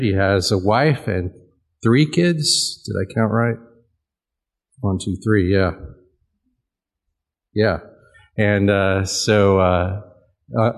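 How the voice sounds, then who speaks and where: An American man introducing a guest preacher at church, talking casually with many hesitations and fillers. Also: fairly low pitch. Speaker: church leader introducing the speaker. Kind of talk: casual announcements.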